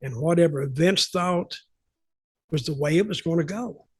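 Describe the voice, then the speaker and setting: A man's voice: a little high, normal speed, darkish tone, husky. An older man telling stories casually on a podcast, blunt and opinionated, repeating points for emphasis. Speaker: podcast host, veteran wrestling booker.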